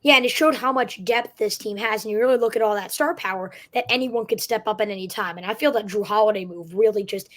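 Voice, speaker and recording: Brief: female sports show host, mid-pitched voice, asks long questions in a casual, even way. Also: quick pace, hardly any pauses. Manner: long, steady questions with fillers like "kinda".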